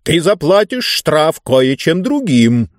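Man as a pompous storybook tyrant, greedy, theatrical, slow emphatic delivery, raised swooping pitch, dry sound. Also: big pitch swings, no room sound. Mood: greedy, commanding. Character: pompous, greedy tyrant with a huge mouth, savouring words. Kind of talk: audiobook character voice.

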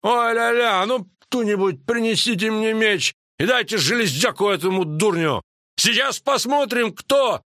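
Man acting a bellowing hot-headed warrior lord, pitched up. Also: expressive, unhurried, pushed and bright, dry recording, clean studio sound, exaggerated voice. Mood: enraged. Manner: bellowing, insulting. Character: furious hot-headed island warrior lord, loud and coarse.

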